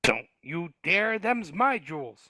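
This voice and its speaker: A man's voice: high voice, sing-song, slow pace, pushed and bright, exaggerated voice. A man voicing a grumpy, cowardly male dwarf who talks in broken grammar, read over a video call. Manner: gruff, ungrammatical speech. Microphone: home mic on a video call.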